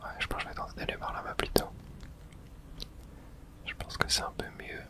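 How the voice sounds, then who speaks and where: A woman whispering casual, slangy ASMR commentary as she plays a horror video game. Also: a little fast.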